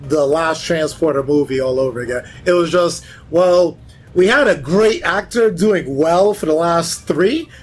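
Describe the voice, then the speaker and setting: Guy just chatting with the group, slightly high voice, faint music behind. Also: a little slow, low-quality recording. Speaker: panelist. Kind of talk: casual chat.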